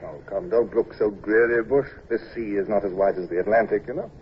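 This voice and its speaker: An actor playing an imperious, irascible naval commander with a dry humour, in brisk old radio drama style. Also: male voice, fairly low pitch, natural voice. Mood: decisive command. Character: imperious, irascible British naval commander at sea, dryly humorous. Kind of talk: 1950s radio drama acting, first-person narration and dialogue. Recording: dull, hissy old radio broadcast recording.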